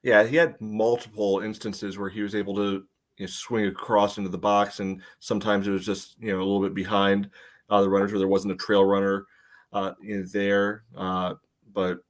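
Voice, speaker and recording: A man with a fairly low voice talks as co-host of a sports podcast. He analyses the game conversationally, feeling out his phrases with a lot of "you know". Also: level tone, normal speed. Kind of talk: casual chat, sports analysis.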